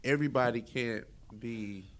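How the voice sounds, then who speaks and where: A man's voice: mid-range voice, normal speed. A man co-hosting a podcast in casual chat, slangy and given to exaggeration as he tells stories. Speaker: podcast co-host. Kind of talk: casual chat, informal storytelling.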